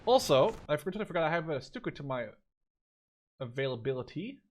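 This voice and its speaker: A man's voice: on the high side, big pitch swings, quick pace, slight background noise. A man in his twenties from the Netherlands giving casual, rambling let's-play commentary, thinking aloud and swearing offhandedly. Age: 20s. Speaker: gaming YouTuber.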